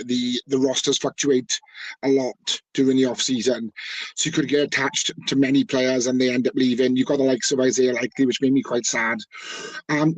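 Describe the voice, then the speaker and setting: Husky-voiced man chatting. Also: mid-range voice, a little mellow, no room sound, rough recording. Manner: rambling, enthusiastic, frequent fillers and restarts. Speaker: guest, team fan. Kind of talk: casual podcast chat.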